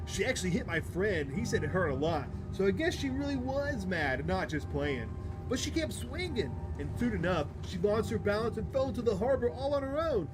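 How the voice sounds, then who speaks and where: A man's voice: high-pitched, poor audio. A man voicing a rambling, boastful, oblivious young prankster from a visual novel. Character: chatty, careless young male prankster bus passenger. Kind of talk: visual novel character read aloud.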